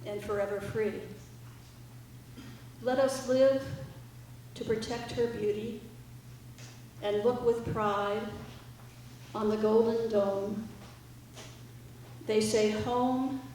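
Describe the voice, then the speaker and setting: A woman's voice: mid-range voice, a little mellow. A woman speaking informally to a live audience as a musician introducing her group's songs. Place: indoor library art gallery, live audience. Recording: echoey room, distant mic, faint noise.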